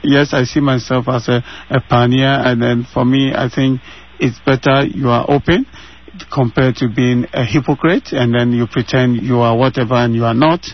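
A man's voice: medium pitch, fairly even intonation, normal speed. A Ghanaian man in his thirties answers interview questions with earnest conviction, hammering his points home by repeating phrases. His voice sits mid-range for a man. The sound is a little dull, as if it comes over a remote line from his home. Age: 30s.

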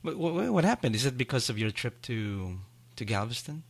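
A man hosting a casual podcast, chatty and quick to laugh. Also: medium pitch, animated, no echo. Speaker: podcast host. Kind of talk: casual chat.

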